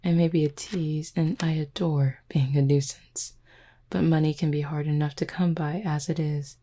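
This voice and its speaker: A woman's voice: deep voice, fairly even intonation, moderate pace, warm tone. A woman playing a flirty, confident werewolf who teases her listener in an intimate roleplay audio drama. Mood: playful, teasing. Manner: teasing, flirtatious, smooth-talking. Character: flirty, teasing, confident female werewolf pack beta.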